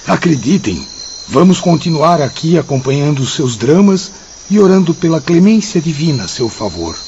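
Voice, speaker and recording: A man's voice: on the high side, very animated, moderate pace, a little mellow. An actor playing an earnest, devout male well-wisher in a radio play, speaking reverently. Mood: reassuring. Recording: muffled audio, faint noise.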